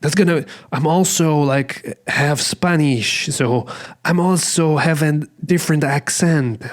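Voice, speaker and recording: A man hosts a casual solo podcast and rambles in a self-deprecating way. His voice sits a little high for a man, and he talks slowly. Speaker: podcast host.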